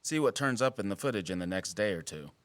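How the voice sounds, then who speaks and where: An actor playing a wry, guarded wildlife biologist in an audio drama, with teasing, dry sarcasm and an earnest streak. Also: male voice, on the low side, lively intonation, fast talker, no room sound, close to an everyday voice. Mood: earnest, conspiratorial. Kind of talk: naturalistic audio drama acting.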